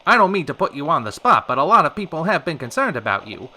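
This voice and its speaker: An actor playing a showy radio host of a retro variety hour, with polished, theatrical announcer patter in an old-time radio style. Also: male voice, fairly high pitch, sing-song, fast talker, pushed and bright, full character voice. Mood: persuasive. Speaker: radio show host and interviewer.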